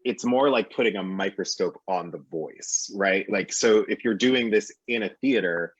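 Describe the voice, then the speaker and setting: A man with a husky voice, an actor explaining his craft on a discussion panel. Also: fairly low pitch, moderate pace. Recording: roomy, slightly muffled call audio.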